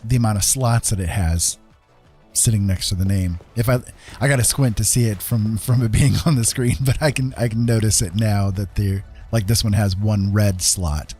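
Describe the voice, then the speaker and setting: A man's voice: fairly low pitch, steady tone, moderate pace, darkish tone, husky. A man streaming a game with casual chat, thinking aloud in a rambling way and often repeating phrases. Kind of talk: casual gaming livestream chat. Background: game music.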